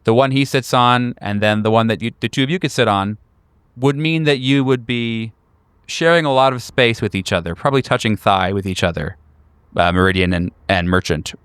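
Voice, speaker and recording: A man narrating a tabletop horror game as game master, describing scenes with halting pauses mid-sentence. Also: mid-range voice, a bit bright, clear, resonant tone, dry recording.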